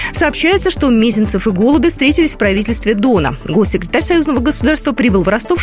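Woman, news presenter, highly varied intonation, quick pace, formal scripted reading, music bed.

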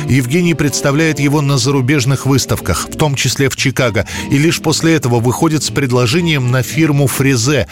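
A man tells scripted history as a programme narrator. His voice is medium in pitch and his phrasing is conversational with dramatic touches. Music plays clearly behind him.

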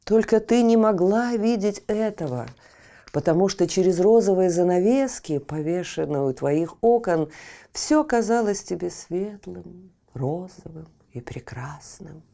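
A woman's voice: on the low side, animated, vocal fry, mellow. A woman doing a stern old crone. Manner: stern, slow, somber. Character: old stern ragged woman named Life. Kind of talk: storybook reading.

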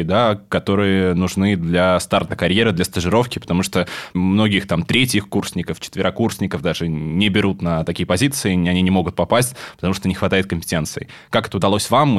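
A man hosting a conversational podcast, his voice on the low side. He talks quickly and asks friendly questions at a brisk clip. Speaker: podcast host.

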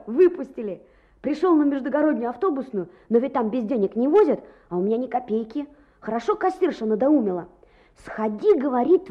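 Very high, excitable schoolchild. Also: female voice. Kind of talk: Soviet radio play acting. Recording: muffled old radio play recording.

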